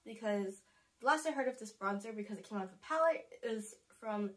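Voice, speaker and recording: Young female vlogger, nasal and congested from a cold, casual rambling to-camera talk, mid pitch. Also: lively intonation, a little fast. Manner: chatty, rambling, self-correcting, laughs at herself. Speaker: beauty vlogger.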